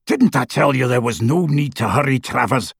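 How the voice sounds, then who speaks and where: A man voicing a brutish, sneering dark-wizard henchman in an audiobook, with a rough voice and a scornful, threatening manner. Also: medium pitch, expressive, normal speed, no echo, studio quality. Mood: gruff scorn. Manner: scornful, taunting, roaring. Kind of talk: audiobook character voice.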